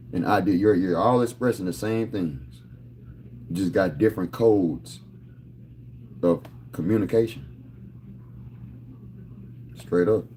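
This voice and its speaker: A man's voice: sits low, dark tone, a little hiss or hum. A man in his thirties talking casually to camera, boastful and rambling, full of street slang. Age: 30s. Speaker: vlogger.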